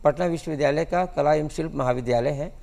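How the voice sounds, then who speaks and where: A man answering studio interview questions as a guest, explaining in a halting way. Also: a little high, gravelly, a little mellow. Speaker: guest cartoonist.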